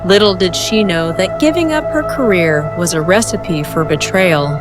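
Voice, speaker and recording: A woman's voice: lowish voice, moderate pace, loud music behind the voice. A woman narrator reading scripted dramatic story recap.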